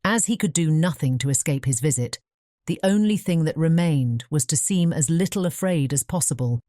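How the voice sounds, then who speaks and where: Female storyteller, low-pitched, mellow, scripted fairy tale narration, dry studio-like sound. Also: lively intonation, moderate pace, no room sound. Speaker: audiobook narrator.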